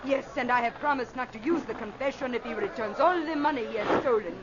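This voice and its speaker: Actress as earnest foreign concert singer, dull radio sound. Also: female voice, a little high, quick pace, close to an everyday voice. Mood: earnest, pleading. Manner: earnest, formal, theatrical phrasing. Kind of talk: old-time radio drama acting. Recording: dull vintage radio recording, crowd behind.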